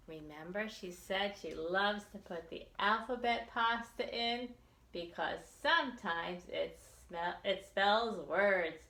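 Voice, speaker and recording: A woman reading a picture book aloud for young children, expressively and with deliberate enunciation. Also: medium pitch, a bit bright, roomy sound. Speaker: children's librarian storytime reader.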